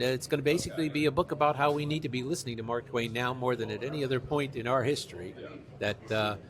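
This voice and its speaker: A middle-aged man from New York telling anecdotes in an interview, talkative and enthusiastic about his subject. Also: medium pitch. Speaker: author, interview guest. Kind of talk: conversational interview, anecdotal storytelling.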